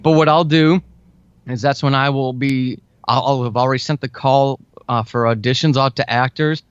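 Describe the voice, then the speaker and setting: A man's voice: medium pitch, steady tone, normal speed, clear, resonant tone, dry recording. Enthusiastic man, dull dry recording. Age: 40s. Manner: enthusiastic, earnest. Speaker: guest, fiction and audio drama writer. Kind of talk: casual conversational interview. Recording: dull, lo-fi band-limited audio.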